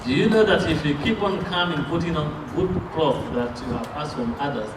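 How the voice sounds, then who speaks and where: A man's voice: a little high, recorded from a distance. Man interpreting a sermon live. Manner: halting, with fillers and restarts. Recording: echoey room, picked up from afar, noisy.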